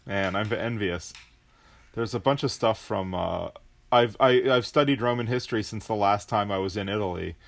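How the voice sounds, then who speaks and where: A man chatting casually as a podcast guest, halting and curious. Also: on the low side, vocal fry. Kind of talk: casual chat.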